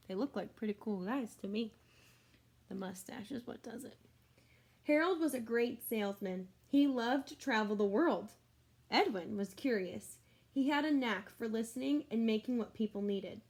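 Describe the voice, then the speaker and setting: Female teacher, higher-pitched, expressive picture-book read-aloud for children, chatty and explanatory, speaks directly to listeners.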